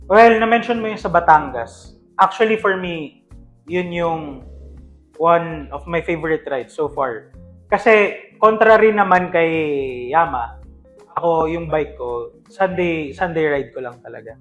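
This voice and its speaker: A man's voice: on the high side, lively intonation, a little slow, fairly bright tone, soft background music, low-quality recording. A man with a Filipino accent telling stories casually as a podcast co-host, mixing in English.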